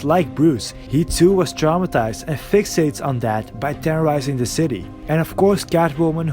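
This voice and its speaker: A man's voice: fairly high pitch, a little mellow. Earnest male video essay narrator. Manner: earnest, reflective explaining. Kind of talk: scripted voiceover narration. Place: recorded alone.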